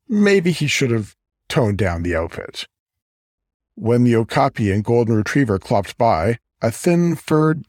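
A man's voice: mid-range voice, darkish tone, dry recording, studio quality. A man reading a scripted fiction story aloud as an audiobook narrator.

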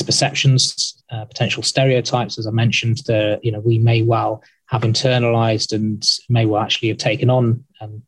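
A man speaking as an academic guest on a discussion panel, hesitant and full of pauses and restarts. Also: lowish voice, slightly rough, no echo, poor audio. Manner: hesitant, many ums and restarts.

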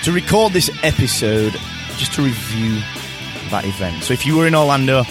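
A British man hosting his own podcast, talking alone from a hotel room in an upbeat, enthusiastic way. His voice is a little croaky from tiredness, and he often repeats words as he talks. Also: mid-range voice, lively intonation, normal speed, over music. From Britain. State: slightly croaky voice. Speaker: podcast host. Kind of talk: solo podcast monologue.

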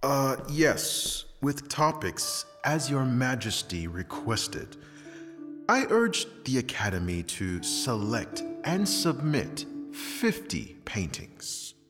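Deferential court official, formal, hesitant, very animated pitch, reverberant hall. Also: male voice, slow. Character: deferential, careful male official at the imperial court. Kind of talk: radio drama acting. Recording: hall reverb, plucked-string music behind.

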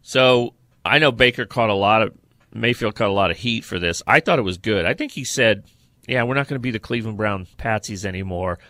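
Bright, forceful man talking football, low voice. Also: brisk, dry recording, clean studio sound. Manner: blunt, opinionated. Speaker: sports podcast co-host and football writer. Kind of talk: opinionated sports talk, conversational.

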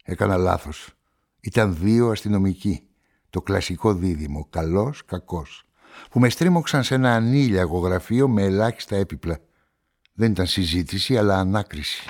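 An actor playing a guarded amateur sleuth, telling a crime story in measured, reflective radio-play narration and dialogue. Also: male voice, low voice, lively intonation, a little mellow.

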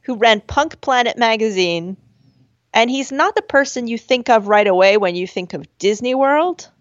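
A woman with a high voice chats and laughs as a podcast co-host, slipping into squeaky voices when she quotes herself. The recording is dull and slightly lo-fi. It sounds dry, with no room echo. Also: expressive, normal speed. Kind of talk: casual chat. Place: at home with a dog.

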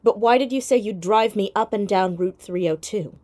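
A woman's voice: mid-range voice, normal speed, warm tone, lightly acted. Persistent teenage girl sleuth played by a woman. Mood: probing, assertive. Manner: probing, direct questioning. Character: sharp, persistent fourteen-year-old girl detective. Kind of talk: audio drama acting, naturalistic.

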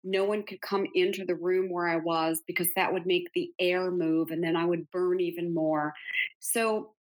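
A woman's voice: on the low side, fairly even intonation, moderate pace, rough recording. A middle-aged woman guest, telling her story in an earnest, emphatic way during an interview conversation. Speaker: interview guest, author and speaker.

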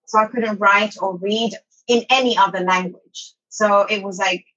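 A woman's voice: medium pitch, fairly even intonation, normal speed, pushed and bright, muffled recording. A woman from Somalia who moved to London, chatting informally as an interview guest and telling her own story. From born in Somalia, moved as a child to Saudi Arabia, then London. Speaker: interview guest, online English teacher. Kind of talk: casual personal storytelling in an interview.

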